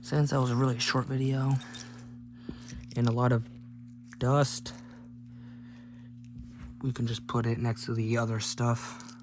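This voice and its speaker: Male teardown host, mid-pitched and flat, casual rambling commentary with offhand jokes, clearly noisy humming recording. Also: a little slow, long pauses. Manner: rambling, jokey offhand remarks. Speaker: host of a gadget teardown video.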